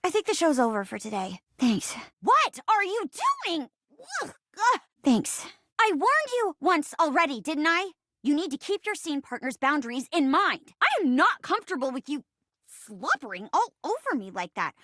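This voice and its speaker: A woman playing a young female video game character, an earnest stage-actress chair come to life, talking in an animated, casual way. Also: sits high, no room sound, studio quality, full character voice. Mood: indignant, flustered. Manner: animated, earnest, casual, halting when uncomfortable.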